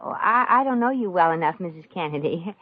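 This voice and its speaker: Brassy, good-hearted young wisecracker, very lively pitch, breezy slang, dull vintage radio comedy sound. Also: female voice, brisk. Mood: amused, astonished. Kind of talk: 1950s radio comedy acting. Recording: dull old-time radio recording.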